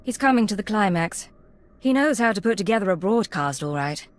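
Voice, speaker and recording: An actress playing a composed secret agent in a video game, speaking in a controlled, understated, quietly confident way. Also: female voice, medium pitch, lively intonation, a little fast, natural voice. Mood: cool, confident.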